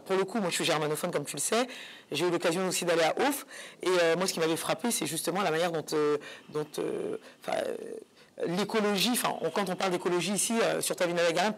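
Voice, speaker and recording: Hesitant woman hosting a talk show. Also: on the low side. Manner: hesitant, searching for words, repeating phrases. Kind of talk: conversational interviewing.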